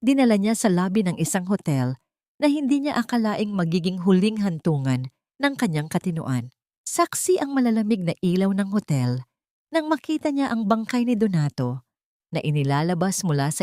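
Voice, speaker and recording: A woman narrates a scripted romantic drama in a slightly low voice. Her delivery is solemn and melodramatic, with carefully placed phrases. The recording is dry, free of room sound. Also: big pitch swings, normal speed, warm tone, dry recording. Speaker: story narrator.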